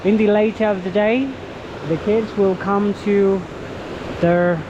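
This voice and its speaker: Slow-talking Vietnamese man guiding outdoors. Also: high-pitched, steady tone, dull audio. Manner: chatty, halting with many fillers. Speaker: local tour guide. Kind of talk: walking travel vlog. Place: outdoors in a mountain village. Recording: noisy outdoor recording.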